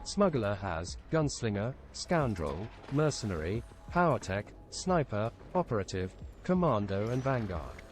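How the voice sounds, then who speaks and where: A man's voice: fairly low pitch, sing-song, measured pace, hardly any pauses, soft background music. A synthetic man's voice reading out stream chat messages as text-to-speech.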